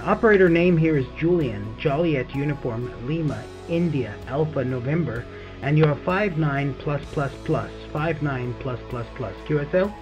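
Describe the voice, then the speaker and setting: A man operating a low-power ham radio station from home, thanking the other operator warmly and spelling his call sign phonetically. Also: normal speed. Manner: friendly, effusive, spells with phonetic alphabet. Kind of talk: ham radio contact over the air. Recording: muffled lo-fi radio with faint music.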